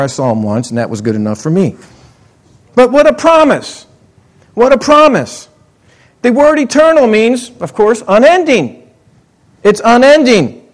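High-voiced man preaching conversationally. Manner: conversational, swinging between excited highs and muttered asides. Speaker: pastor. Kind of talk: sermon.

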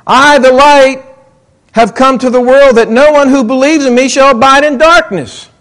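High-pitched middle-aged guy preaching, chatty, goes from excited to mumbly asides. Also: moderate pace. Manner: conversational, swinging between excited highs and muttered asides. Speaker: pastor. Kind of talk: sermon.

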